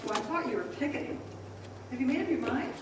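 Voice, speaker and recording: A woman's voice: high-pitched, animated, fast talker. An actress playing a direct, teasing young woman in an old-time radio play. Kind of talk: old-time radio drama stage acting. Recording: echoey theater, distant mic, noisy.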